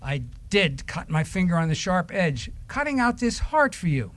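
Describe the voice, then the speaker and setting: A man's voice: high voice, very animated, moderate pace, fairly bright tone. A man leading a children's time at church, reading aloud and chatting to the children in a playful way, with questions and simple instructions.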